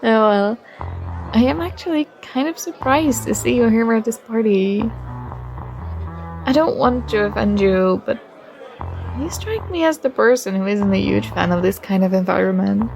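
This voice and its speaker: A woman playing a friendly, shy young student with a secret crush in an ASMR roleplay, speaking gently and conversationally. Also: on the high side, gravelly, soft background music, poor audio. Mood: curious, sympathetic. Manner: gentle, unhurried, with small giggles.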